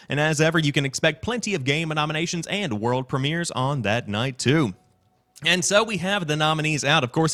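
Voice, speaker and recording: Bright, animated male gaming news host. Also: a little high. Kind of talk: upbeat scripted news show presenting with commentary.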